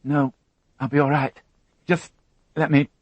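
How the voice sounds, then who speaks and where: A man voicing a frightened young woman who witnessed a crime, tearful and halting, repeating her words as she talks. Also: a little high, flat delivery, no room sound, muffled recording, pitched above the performer's own voice. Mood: shaken.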